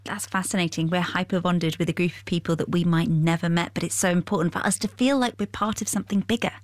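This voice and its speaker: A woman's voice: lowish voice, steady tone, fast, no echo. A woman hosting a podcast, moving between scripted narration and interview questions. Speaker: podcast host.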